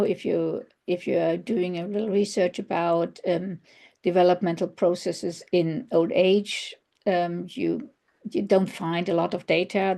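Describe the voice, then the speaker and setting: Woman, German accent, lower pitch, gravelly fry, mellow dark tone, halting academic talk, fillers and restarts. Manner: thoughtful, searching for words. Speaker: researcher presenting. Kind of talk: online conference presentation.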